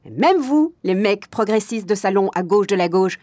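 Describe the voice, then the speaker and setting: An actress playing a brash, defiant jazz club owner in a naturalistic drama, talking colloquially with a sardonic edge. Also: female voice, sits low, normal speed, warm tone. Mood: defiant, heated. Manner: colloquial, sardonic, musing aloud. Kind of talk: naturalistic drama monologue and dialogue.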